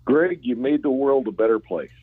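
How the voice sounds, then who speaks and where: A man's voice: medium pitch, animated, moderate pace, no echo. Male caller on a muffled line. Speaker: radio phone-in caller. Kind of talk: casual phone chat. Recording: dull band-limited phone line.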